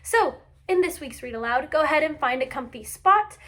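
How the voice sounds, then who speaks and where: A woman with a high voice reads aloud for young children. She has a teacher's expressive storytelling delivery and addresses her listeners directly. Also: normal speed.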